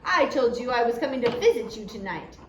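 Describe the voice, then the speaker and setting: A woman's voice: on the high side, lively intonation, a little fast, lightly acted. Woman acting a smug, menacing official, echoey. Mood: mocking, menacing. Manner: mocking. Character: leering, mocking male official, menacing and smug. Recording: roomy echo, background noise.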